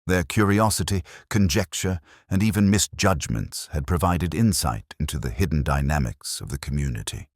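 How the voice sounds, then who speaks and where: A man narrating a literary mystery story in a measured, formal storytelling manner. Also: a bass voice, animated, no room sound, clean studio sound. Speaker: audiobook narrator.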